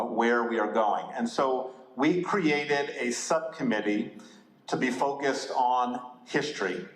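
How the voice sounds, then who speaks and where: Male church committee chair, measured opening remarks, mid pitch, roomy echo. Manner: measured, frequent uh pauses. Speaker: subcommittee chair. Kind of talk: formal welcome remarks. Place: church hall with audience. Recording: echoey hall.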